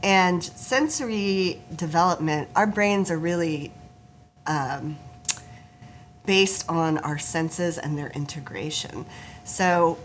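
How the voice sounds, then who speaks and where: A woman's voice: fairly low pitch, takes it slowly, slight background noise. A woman guest on a talk show, a forest therapist, explaining her work in an earnest, informative way. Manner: earnest, informative, laughs easily, some restarts.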